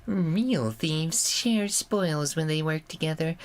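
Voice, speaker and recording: A woman's voice: low voice, slow. A woman voicing a sly, philosophical trickster in a video game, with a theatrical, teasing delivery. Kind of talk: scripted video game character acting.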